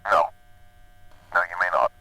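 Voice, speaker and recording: An actor playing a secretive male janitor calling in to a show, speaking in a low, measured, cryptic way. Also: pushed and bright, no room sound, exaggerated voice, low-quality recording. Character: mysterious older male janitor caller, secretive. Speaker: caller.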